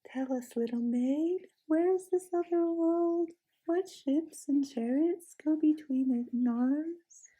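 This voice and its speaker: A soft, musical witch queen who coaxes and half-mocks everyone she speaks to. A woman reading the story puts her on, keeping the voice quiet and sweet. The pace is unhurried and the tone stays a touch high. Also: lively intonation, low-quality recording. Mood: coaxing. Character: sly, soothing witch queen, coaxing and half-mocking.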